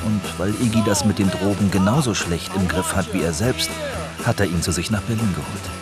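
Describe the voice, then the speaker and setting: Male narrator, low voice, scripted radio feature delivery, prominent music bed. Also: brisk.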